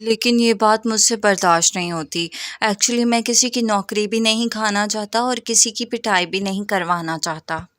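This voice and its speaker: A woman's voice: medium pitch, normal speed, few pauses, fairly bright tone, no room sound, clean studio sound, lightly acted. A woman voicing a spoiled, sly, spiteful rich schoolboy in a cartoon story, tattling with false innocence. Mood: falsely innocent.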